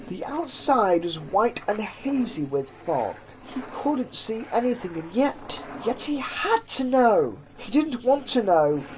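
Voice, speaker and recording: A woman narrates a horror story in a theatrical, suspenseful way, with drawn-out phrases and hushed drops. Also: medium pitch, poor audio. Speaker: fan fiction narrator. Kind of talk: dramatic horror story reading. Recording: clear background noise with ambience.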